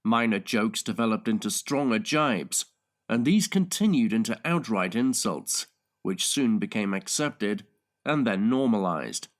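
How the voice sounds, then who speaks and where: Measured male audiobook narrator, unhurried. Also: fairly low pitch, lively intonation. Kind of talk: scripted dramatic and factual narration.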